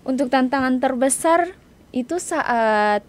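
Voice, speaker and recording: Young adult female interview guest, slightly high voice, informal, hesitant phrasing. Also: expressive, takes it slowly. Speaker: interview guest, student writer. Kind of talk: casual interview chat.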